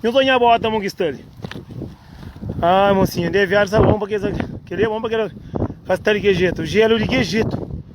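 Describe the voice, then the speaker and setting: A man with a high voice talks in a rambling, repetitive, playful way, circling back to the same phrases. He is a vlogger from Cape Verde, speaking outdoors in the cold. The outdoor phone recording carries clear background noise. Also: a little fast, long pauses.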